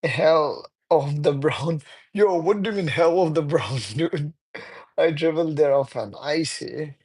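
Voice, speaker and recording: Chatty man with a higher voice. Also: unhurried, darkish tone, slightly rough. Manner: chatty, laughs often, non-native phrasing. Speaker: livestreamer. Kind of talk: casual live-stream chat reading viewer comments.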